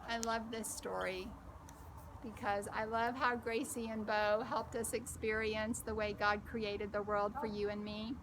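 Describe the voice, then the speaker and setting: A woman storyteller reading a picture book aloud, gently encouraging young children and asking them questions directly. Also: on the high side, noisy background.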